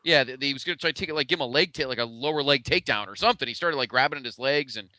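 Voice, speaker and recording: A man with a bright, smooth voice at a middling pitch, chatting casually as a podcast co-host. His delivery is blunt and the sound is dry and close. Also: racing, dry recording. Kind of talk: casual pro wrestling retrospective chat.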